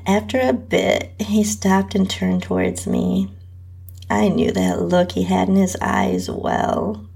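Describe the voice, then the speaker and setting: A woman's voice: medium pitch, gravelly, darkish tone, husky. A woman host narrating an intimate first-person erotic story.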